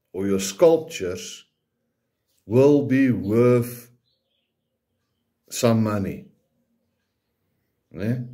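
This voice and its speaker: A man's voice: medium pitch, mellow. A man from South Africa whose first language is Afrikaans gives an informal, hesitant preaching talk in English with an Afrikaans accent, often ending phrases with a questioning tag.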